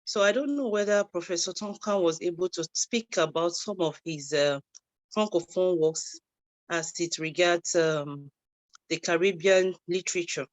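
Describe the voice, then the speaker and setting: A woman's voice: fairly low pitch, normal speed, dry recording. A woman in the audience of an academic session who asks a question over a video call, politely and with frequent hesitations. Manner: polite, hesitant, many fillers. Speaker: audience member asking a question.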